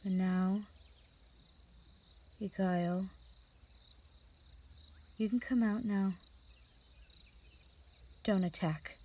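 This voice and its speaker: A woman playing a young, unrepentant wind mage killer in a fantasy audio drama, measured, resigned and quietly menacing. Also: medium pitch, fairly even intonation. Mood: resigned, calm. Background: music.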